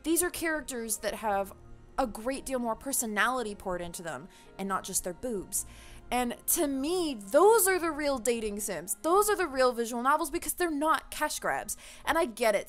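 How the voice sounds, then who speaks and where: A woman's voice: on the high side, a bit bright. A woman gaming creator giving a casual, opinionated rant to her viewers, speaking emphatically and informally in an exasperated way.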